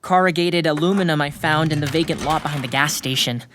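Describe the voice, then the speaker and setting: A woman's voice: deep voice, fast talker, a bit bright, slight background noise. A woman acting the part of an anxious, protective schoolkid with a secret pet in an audio drama, speaking hesitantly. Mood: earnest, sheepish. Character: Nico, earnest anxious schoolkid hiding a pet baby dragon. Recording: music bed behind the voice.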